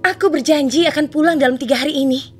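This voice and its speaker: A woman's voice: sits high, fairly even intonation, quick pace, faint music behind, lightly acted. A woman voicing a kind, devoted young beauty in a cartoon fairy tale, sweet and earnest. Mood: willing, earnest.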